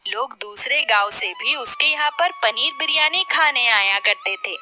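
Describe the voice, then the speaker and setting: A woman narrating a scripted children's story in an expressive storytelling manner. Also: high voice, normal speed, music in the background.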